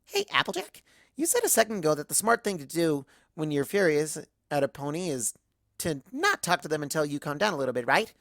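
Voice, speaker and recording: A woman's voice: low-pitched, big pitch swings, brisk, a bit bright, no echo, clean studio sound, exaggerated voice. A woman voicing a bubbly, scatterbrained young cartoon pony who rambles earnestly like a child. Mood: chirpy, rambling. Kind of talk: first-person fan fiction narration in character.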